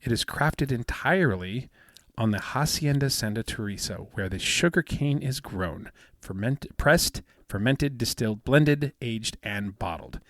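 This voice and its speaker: A man in his fifties with a soothing, smooth voice, chatting casually as a podcast co-host and rambling with many fillers and restarts. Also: mid-range voice, expressive, unhurried, dry recording, clean studio sound. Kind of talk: casual chat.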